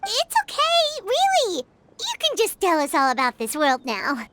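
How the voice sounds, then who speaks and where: A woman voicing a tiny, chirpy, childlike fairy companion in a video game, excitable and squeaky. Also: a little slow, heavy background noise, exaggerated voice. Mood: reassuring. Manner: speaks of herself in third person, excitable.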